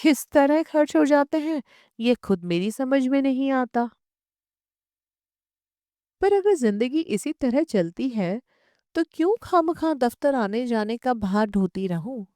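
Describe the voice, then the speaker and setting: Woman reading as a weary, disillusioned office woman, unhurried. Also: high-pitched, lively intonation, warm tone, dry recording, clean studio sound. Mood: weary, discontented. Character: weary, disillusioned unmarried woman office worker, restless and earnest.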